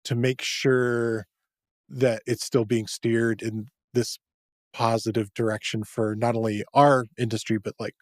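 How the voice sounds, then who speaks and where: A man's voice: mid-range voice, level tone, a little slow, no room sound, studio quality. A man hosting a podcast, upbeat and affirming as he asks his guest open questions. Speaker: podcast host. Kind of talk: casual interview chat.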